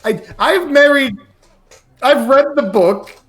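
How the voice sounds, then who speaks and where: A man's voice: extremely high pitch, slow. A man playing a tabletop game, bantering casually with the other players. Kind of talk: casual banter in character talk.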